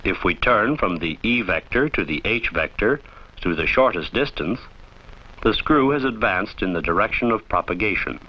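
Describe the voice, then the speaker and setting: Deep-voiced male film narrator, dull old soundtrack. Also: expressive. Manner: formal, measured, didactic. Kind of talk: scripted instructional narration.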